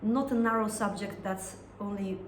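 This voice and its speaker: A woman's voice: mid-range voice, fairly even intonation, moderate pace. A woman from Russia with a Russian accent, a singer-songwriter talking in an interview, thoughtful and searching for words with frequent restarts. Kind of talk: interview conversation. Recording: echoey room with some noise.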